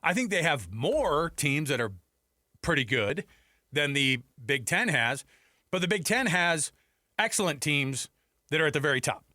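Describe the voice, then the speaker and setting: Casting: American male sports radio host, bright and punchy, dry studio mic, casual opinionated commentary with rhetorical questions. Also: a little high, big pitch swings, a little slow, no echo. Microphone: close studio headset mic.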